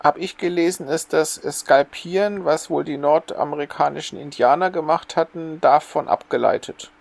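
Higher-pitched man in casual joking chat. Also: moderate pace, creaky. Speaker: podcast co-host.

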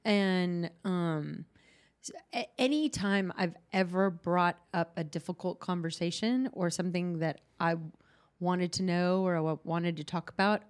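Candid, low-voiced woman podcast host. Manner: candid, halting, many restarts and fillers. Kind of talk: casual podcast chat.

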